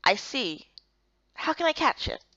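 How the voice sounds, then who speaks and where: Young female narrator-heroine for a visual novel, lively and theatrical, highly varied intonation. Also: unhurried, no room sound, dull audio. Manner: animated, theatrical. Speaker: voice actor narrating and playing the heroine. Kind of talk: expressive dramatized reading of a visual novel game.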